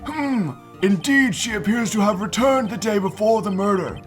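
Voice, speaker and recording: Man putting on a high-pitched formal old judge. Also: animated, faint music behind. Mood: formal, unhurried. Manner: formal, measured. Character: elderly male judge presiding over court, mild and formal. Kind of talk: video game fan dub.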